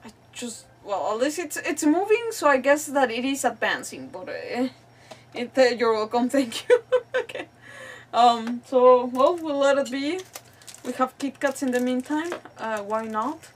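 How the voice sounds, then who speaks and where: Female streamer, higher register, loose unscripted chatter, apologetic and self-mocking. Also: animated, a little slow, recorded from a distance. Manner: rambling, self-deprecating, apologetic. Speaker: livestream host. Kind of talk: casual live stream chat.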